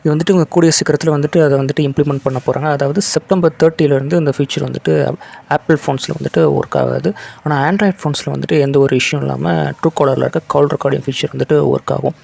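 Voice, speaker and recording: A man's voice: fairly high pitch, steady tone, fast, creaky, darkish tone, husky. A man presenting tech news in an informal, explanatory way, conversational and peppered with filler words and English terms. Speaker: tech news video host.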